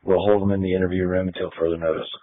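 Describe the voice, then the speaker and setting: A man's voice: sits low, level tone, very fast, no room sound, narrow, tinny audio. A man passing on police radio traffic in a matter-of-fact way over a scanner.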